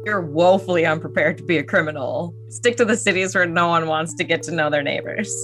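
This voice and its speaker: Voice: mid-range voice, brisk, a bit bright, faint music behind. A co-host making blunt, mocking, sarcastic asides during a podcast. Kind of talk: sarcastic commentary.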